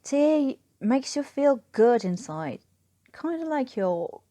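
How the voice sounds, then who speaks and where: A woman's voice: sits high, very animated, slow, dark tone, no echo, studio quality, voice pushed up, full character voice. A woman voicing a cheeky, sickly Cockney man in a story, with teasing, rambling informal talk. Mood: drowsy, musing. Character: cheeky, sickly Cockney Englishman, a wartime airman. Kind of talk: storybook character voice.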